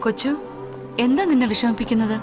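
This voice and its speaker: A woman with a Malayali accent playing a caring, concerned elder sister in a cartoon, gentle and questioning. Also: on the high side, fast talker, over music, natural voice.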